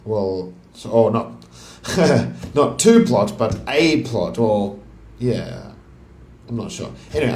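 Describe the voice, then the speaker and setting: Echoey man puzzling aloud. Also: darkish tone. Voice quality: voice deeper than his usual high voice. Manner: musing, self-questioning, chuckly. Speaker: crossword solver and video host. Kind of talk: casual thinking-aloud puzzle commentary. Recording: echoey room.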